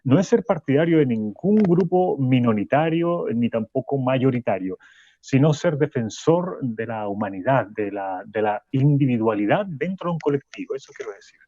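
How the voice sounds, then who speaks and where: Actor as a mellow, husky, reflective professor. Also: male voice, medium pitch, animated, no echo, highs cut off, natural voice. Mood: earnest, reflective. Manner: halting, searching for words. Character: male university professor, reflective, earnest, wry radio guest. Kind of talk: radio drama interview.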